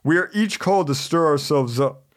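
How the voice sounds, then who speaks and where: A man preaching a sermon in a measured, scripted delivery, earnest and exhorting. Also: a little high.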